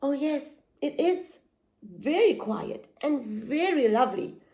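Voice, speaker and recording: A woman's voice: high voice, expressive, takes it slowly, mellow, full character voice. A woman voicing three noisy young foxes who fuss and get scared easily. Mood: fussy.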